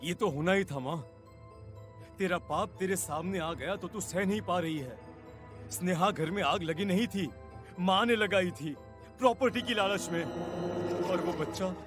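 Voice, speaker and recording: An actor playing a grave, remorseful husband in a horror cartoon story, speaking with earnest drama. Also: male voice, high voice. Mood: accusing. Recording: music behind the voice.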